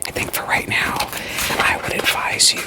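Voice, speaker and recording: A woman whispering an ASMR roleplay as a therapist, in a soft, deadpan, gently questioning manner. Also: normal speed.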